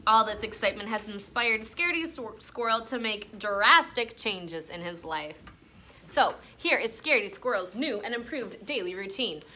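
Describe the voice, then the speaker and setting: A woman teacher reading a picture book aloud to a class in an expressive, instructional way, pausing to explain and ask questions. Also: medium pitch. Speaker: elementary school teacher.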